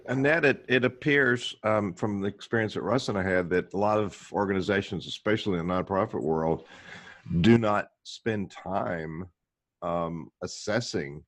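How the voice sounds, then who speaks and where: Froggy-voiced, low-pitched male interview host. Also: no echo. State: froggy, slightly hoarse voice. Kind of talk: conversational interviewing.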